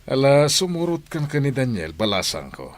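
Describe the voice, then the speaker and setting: A man's voice: mid-range voice, sing-song, normal speed, a little hiss or hum, close to an everyday voice. An actor playing a hearty, inquisitive older father in a village radio drama, speaking in an avuncular, exclamatory way.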